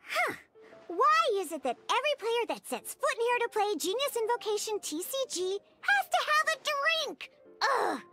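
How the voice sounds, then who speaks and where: A woman's voice: very high voice, sing-song, normal speed, full character voice. A woman voicing a grumpy little cat-girl bartender in a video game, pouty, huffy and exasperated. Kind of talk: video game cartoon-like acting. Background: game music.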